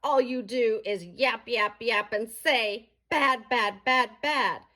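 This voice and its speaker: A woman's voice: fairly high pitch, slow pace, sharp tone. A woman voicing a greedy, defiant male factory owner from a storybook, emphatic and self-justifying. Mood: angry defiant. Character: defiant greedy factory-owning man, later regretful.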